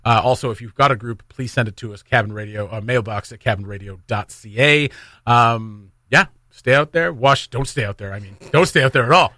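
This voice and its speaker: Radio host guy, bright forward voice, jokey and casual, close dry studio sound. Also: mid-range voice, brisk, no echo. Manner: jokey, informal. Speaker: radio morning show host.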